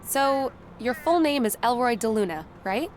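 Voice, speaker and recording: Woman playing a higher-voiced, inquisitive reporter. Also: expressive, normal speed, a little hiss or hum, lightly acted. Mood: probing. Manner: polite, probing. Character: polite, inquisitive female newspaper reporter. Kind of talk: video game character dialogue.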